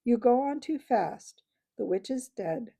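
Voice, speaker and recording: A fussy old male scholar, as a woman reads him, urgently reasoning with the room. The voice is gravelly and mellow. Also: a little high, expressive, a little slow, pitched above the performer's own voice, exaggerated voice.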